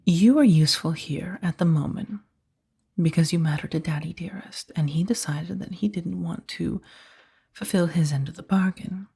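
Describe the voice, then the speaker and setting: A woman playing a powerful, seductive mafia boss in a soft-spoken ASMR roleplay, speaking in a breathy, measured, commanding and teasingly menacing way. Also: lowish voice, full character voice. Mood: cool, controlling. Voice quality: soft, breathy, often slipping toward whisper. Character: powerful female mafia boss, coolly controlling, seductive kidnapper.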